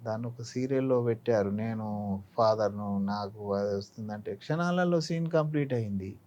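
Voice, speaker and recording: A man's voice: fairly low pitch, moderate pace, a little mellow, muffled recording. Older Telangana man, reflective and instructive. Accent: Telangana Telugu. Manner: didactic, illustrating points with examples. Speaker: actor being interviewed. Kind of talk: reflective interview talk with anecdotes and advice.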